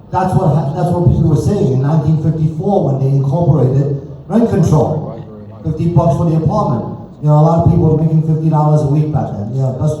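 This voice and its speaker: A man's voice: a little high, dark tone. A man speaking informally at a community town hall, explaining things and checking in with his listeners. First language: Cantonese, speaks it fluently. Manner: explanatory, checks in with listeners using tag questions. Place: indoor public meeting room. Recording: echoey room, distant muffled mic, faint noise.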